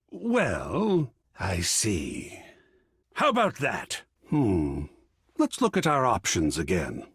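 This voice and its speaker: A man's voice: mid-range voice, husky, no echo, clean studio sound, heavily acted. An actor voicing an effusive, grandiloquent art connoisseur in a video game, savoring his words with dramatic flair. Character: effusive, theatrical art connoisseur, a living piece of artwork. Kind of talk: theatrical game character dialogue.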